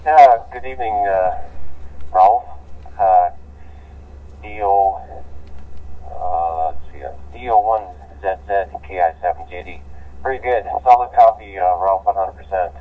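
Man, American accent, deep voice, halting casual ham radio talk, narrow muffled digital radio sound. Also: far from the mic. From United States. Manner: halting, filler-heavy. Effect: digital radio transmission. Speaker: amateur radio operator. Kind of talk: casual amateur radio contact.

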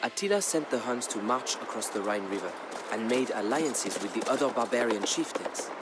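A man playing a solemn storyteller in a strategy game, narrating war history in a measured, dramatic, deliberate way. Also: mid-range voice, lively intonation, natural voice. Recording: narration over background music. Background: music.

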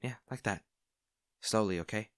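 A man's voice: fairly low pitch, normal speed, no echo, studio quality, natural voice. A man playing a kind young barista with a shy crush, speaking reassuringly and a little hesitantly in an intimate audio roleplay. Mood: caring, reassuring. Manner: soft-hearted, reassuring, hesitant. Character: kind young male barista, caring, shyly smitten. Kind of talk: intimate comfort audio roleplay, natural conversational acting.

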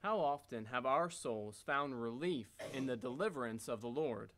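Man reading devotionally, medium pitch. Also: animated, moderate pace, fairly bright tone. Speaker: reader in church readers theater. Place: church sanctuary stage.

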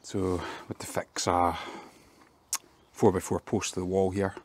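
Low, flat-voiced man in a garden. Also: unhurried. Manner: plain, practical, with many ums. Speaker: fencing contractor. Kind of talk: casual work vlog walkthrough. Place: outdoors in a garden.

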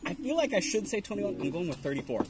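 A man's voice: high-pitched, fast talker, noisy background. A man in his thirties chatting casually at an outdoor campsite table, teasing and joking with his guest. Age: 30s. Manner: teasing, animated, talks with his hands. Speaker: vlogger. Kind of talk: casual chat. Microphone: camera on a tripod at the table.

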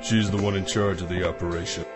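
A man playing a gruff, doubtful squad leader with a terse manner in an animated series. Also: low-pitched, fast, over music, lightly acted. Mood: wary, skeptical. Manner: skeptical, terse.

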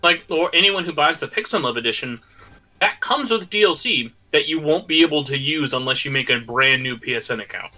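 Loud, animated man talking games. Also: on the high side, fairly quick. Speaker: podcast guest. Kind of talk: casual gaming chat.

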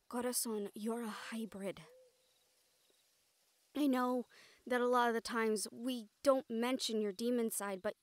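A woman's voice: fairly high pitch, fairly even intonation, takes it slowly, pauses often, no room sound. A woman playing an exasperated but caring siren in an audio roleplay, earnest and sighing, with Spanish endearments. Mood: concerned, earnest. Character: female siren-banshee, exasperated but caring partner and team mate.

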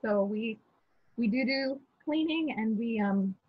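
A young woman speaking as a guest on a video call, relaxed and matter-of-fact. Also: fairly high pitch, slow, husky, no echo. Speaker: guest, shop co-owner. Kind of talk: casual video call interview. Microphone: shared video call. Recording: tinny phone-like call audio.

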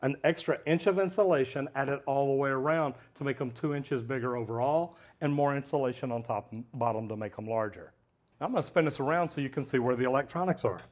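A middle-aged man, a plumber, giving a hands-on instructional demonstration in a metal-walled storage unit, talking practically through a lapel mic. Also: medium pitch. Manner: plain-spoken, practical, addresses viewers as "guys".

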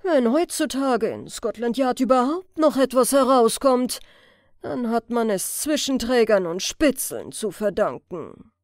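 A woman's voice: fairly high pitch, animated, measured pace, darkish tone, no echo, clean studio sound. Woman putting on a haughty, indignant police major. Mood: sneering. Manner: haughty, scolding, emphatic. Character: pompous, indignant male police major, condescending superior. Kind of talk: audiobook character voice.

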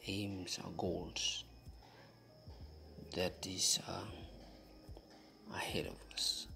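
Slow, halting man with a gravelly creak. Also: low voice, bright, forceful tone. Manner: halting, searching for words. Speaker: vlogger. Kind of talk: casual vlog voice-over talk to viewers.

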